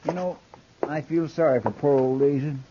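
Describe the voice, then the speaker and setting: An actor playing an earnest, respectful, simple frontier sidekick with a rural American drawl, in a 1950s radio western. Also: male voice, on the high side, moderate pace, close to an everyday voice. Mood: earnest, folksy. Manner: folksy, respectful. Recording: dull, noisy 1950s radio recording.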